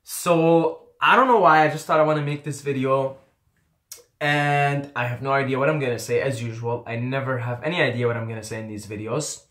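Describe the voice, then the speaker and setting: A man's voice: mid-range voice, a little fast, fairly bright tone. Candid young man in an echoey room. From Qatar. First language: Arabic. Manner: rambling, candid, swears casually, repeats phrases. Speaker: YouTuber. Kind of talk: casual vlog storytelling.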